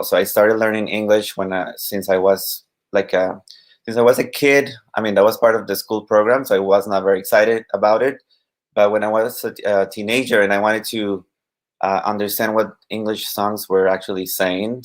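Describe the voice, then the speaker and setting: A man in his thirties from Costa Rica with a Costa Rican accent, a Spanish teacher talking as a guest in a casual live-stream interview, halting with many fillers and restarts. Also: on the low side.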